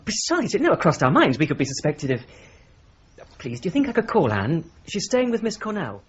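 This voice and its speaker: A man's voice: fairly high pitch, huge pitch swings, fairly quick. A flustered, stammering young man under questioning, voiced by a man, apologetic and hesitant. in a radio drama. The sound is dull, with faint hiss.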